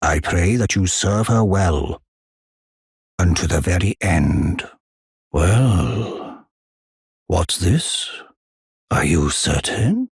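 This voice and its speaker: An old giant, a loyal war counselor from a fantasy video game. The voice sits very low and is thickly hoarse-edged, with slow, measured delivery in a close, dry sound. He speaks with solemn, old-world courtesy. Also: dry recording, heavily acted. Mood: wistful, then pleasantly surprised. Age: older. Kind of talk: formal video game character acting.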